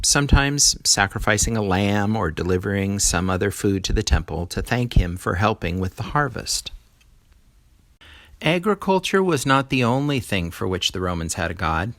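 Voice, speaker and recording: A man with a fairly low voice reads an educational lesson aloud in the manner of a teacher. He explains as he goes and puts emphasis on key words. The sound is dry, with little room in it. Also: fairly bright tone, no room sound. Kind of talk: educational read-aloud narration.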